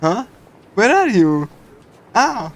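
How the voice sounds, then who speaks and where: A man reading a visual novel on a casual stream, halting and repeating himself, giggly as he comments. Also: high voice, big pitch swings. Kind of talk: casual game readthrough with translation and commentary. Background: game music.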